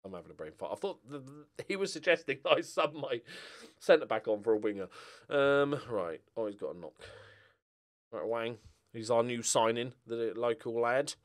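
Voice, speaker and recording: A middle-aged British man talks through his game as he plays, casual and jokey. His mid-pitched voice is very animated, moving from muttered asides to loud exclamations. He rambles and thinks aloud like a relaxed streamer. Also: normal speed. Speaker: gaming streamer playing a football management game. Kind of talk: casual live gameplay commentary, thinking aloud.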